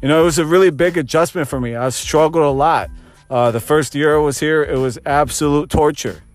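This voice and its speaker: A man's voice: on the high side, fairly quick, dry recording, faint music behind. A man of thirty, a fitness coach, hosting his own podcast and giving an earnest motivational pep talk that repeats phrases for emphasis. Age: 30s.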